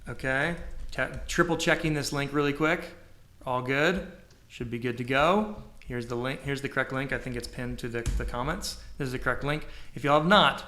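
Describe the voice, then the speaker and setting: A man's voice: mid-range voice, moderate pace, pushed and bright. Casual upbeat male co-host, promo-friendly patter. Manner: upbeat, promotional, says y'all. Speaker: podcast co-host. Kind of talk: casual live-stream chat.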